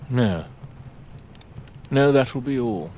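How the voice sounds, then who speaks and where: A man's voice: medium pitch, expressive, slow, noisy background. A man acting a haughty, sceptical manor lord in a period audio drama, with pompous, commanding and formal diction. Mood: condescending.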